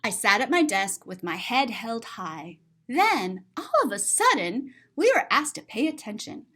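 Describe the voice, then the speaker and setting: A woman with a fairly high, very animated voice reads a picture book to young children. She takes her time and pauses to explain things simply, like a teacher at story time.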